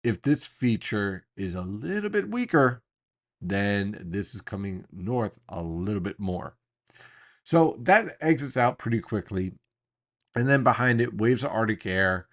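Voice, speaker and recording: A man with a fairly low voice gives an unscripted weather briefing. He talks like a forecaster walking viewers through maps, explaining each point with emphasis and deliberate pauses. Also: sing-song.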